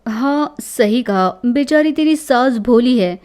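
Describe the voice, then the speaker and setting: Sly young woman posing as a doctor, voiced by a woman, measured, advising, slightly high, slow pace, comic storybook. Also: lively intonation, darkish tone. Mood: conspiratorial. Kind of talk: Hindi family comedy storybook acting.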